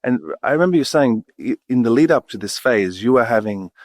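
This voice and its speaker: A man's voice: mid-range voice, animated, a little fast, dry recording, studio quality. A man interviewing a guest in a conversational style, asking follow-up questions and summing up what he hears. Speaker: interviewer, host.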